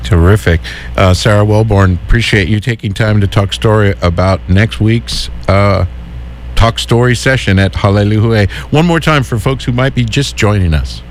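Man, radio host, relaxed, halting with fillers, faint hum behind. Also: fairly low pitch. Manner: relaxed, hesitant, many fillers. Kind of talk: community radio call-in interview.

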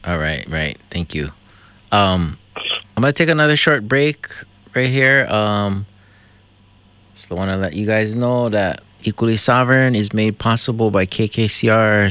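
A man from Hawaii hosting a community radio talk show, speaking in a reflective, halting way with many fillers. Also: on the low side, fairly bright tone, no room sound. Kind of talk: casual radio conversation.

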